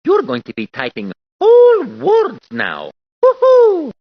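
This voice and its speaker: Silly italian plumber guy in an old game, slow bouncy talk, dry close sound. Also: very high voice, huge pitch swings, dry recording, heavily acted. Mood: cheerful. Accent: put-on Italian. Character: bouncy cheerful Italian plumber man, goofy and encouraging. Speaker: typing tutor character. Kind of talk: video game voice clips. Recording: dull lo-fi game audio.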